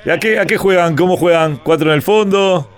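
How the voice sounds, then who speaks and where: Male radio host, Uruguayan accent, slightly high-pitched. Teasing, slangy sports banter, faint music underneath. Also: a little fast. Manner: colloquial, teasing, repeats words for emphasis. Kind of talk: casual, joking sports chat.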